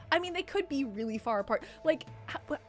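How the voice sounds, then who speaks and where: A woman with a fairly high voice hosts a casual let's play. She is animated and sweary, with faint game music underneath. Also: normal speed. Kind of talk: casual gameplay commentary with a co-host.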